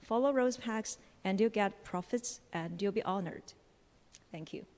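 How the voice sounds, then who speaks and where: A woman's voice: mid-range voice, a little slow, a little mellow. A woman public commenter delivering a prepared political speech at a meeting in a formal, accusatory manner. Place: large echoing public meeting chamber. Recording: long echoing hall reverb.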